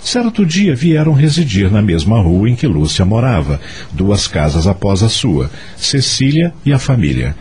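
A man's voice: on the low side, sing-song, moderate pace, hardly any pauses, darkish tone. A man with a Brazilian accent narrating a scripted radio drama.

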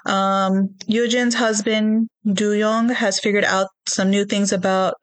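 A woman's voice: medium pitch, little pitch movement, takes it slowly, no echo. A woman co-hosting a podcast, casually recapping a TV show with lots of ums and hedges. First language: not Korean. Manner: recaps plot in a chatty, hedging way with many ums. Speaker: podcast co-host.

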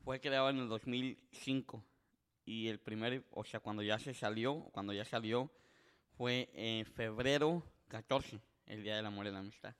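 Rambling twenty-something host. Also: male voice, lowish voice, normal speed, fairly bright tone, clean tone. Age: 20s. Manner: rambling storyteller, many filler words, switches between Spanish and English. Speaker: podcast host. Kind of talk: casual bilingual chat.

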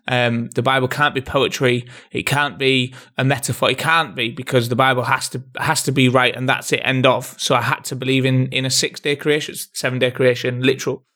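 A man's voice: medium pitch, level tone, a little fast, few pauses, bright, forceful tone. A man co-hosting a podcast in casual chat, rambling, laughing often and restarting his sentences. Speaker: podcast co-host.

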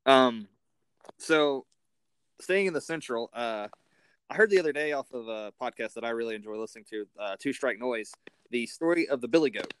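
A man's voice: mid-range voice, expressive, normal speed, clean tone, no room sound, rough recording. A man hosting a casual sports interview as the interviewer.

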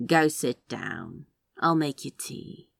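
A woman voicing a gruff, blunt, secretly tender man who grumbles in British slang, in an audio drama. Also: rumbling low, very animated, slow, no echo, full character voice. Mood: gently gruff.

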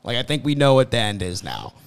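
A young man riffs and rants with friends on a podcast, his voice swinging widely up and down as he jokes. His pitch sits in the middle, and his delivery is loose and playful. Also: quick pace, clean tone. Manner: laughing, joking, animated rants. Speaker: podcast co-host. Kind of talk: casual chat.

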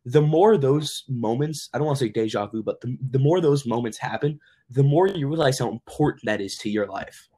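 A man's voice: mid-range voice, a little fast, mellow, husky. A young man hosting a casual podcast, rambling through his thoughts with lots of "like" fillers. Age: young adult. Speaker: podcast host. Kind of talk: casual chat.

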